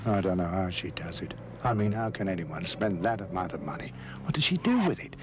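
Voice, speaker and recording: An actor playing an absent-minded, exasperated husband muttering to himself in an old public information film. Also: male voice, sits low, animated, brisk. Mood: grumbling. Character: preoccupied grumbling husband, absent-minded driver. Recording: noisy old film soundtrack.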